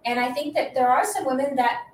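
A middle-aged woman with a high voice, bubbly and chatty in casual conversation. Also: fairly quick, slight background noise. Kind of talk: casual chat. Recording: echoey room, mic at a distance.

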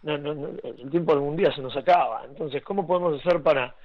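A man's voice: on the high side, quick pace, no echo, muffled recording. A young man with an Argentine accent, raised in Argentina and Venezuela, telling his story as an interview guest with many restarts and fillers. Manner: rambling, many restarts and fillers, laughs often.

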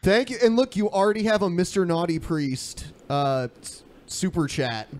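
A man's voice: high voice, normal speed, a little mellow. A man hosting a podcast with casual, profane banter. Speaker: podcast host.

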